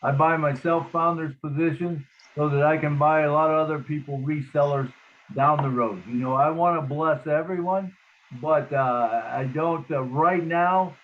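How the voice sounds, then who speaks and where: Man host, chatty, easygoing, tinny phone-like sound, fairly high voice. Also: fairly even intonation, normal speed, a little hiss or hum. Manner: easygoing, chatty, calls guests in. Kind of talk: casual group call.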